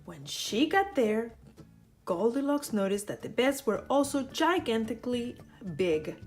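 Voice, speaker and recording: A woman reading a children's picture book aloud, playful and teasing as she tells it. Her fairly high voice climbs and dips in a lively way. Soft music plays behind her. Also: unhurried. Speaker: storyteller.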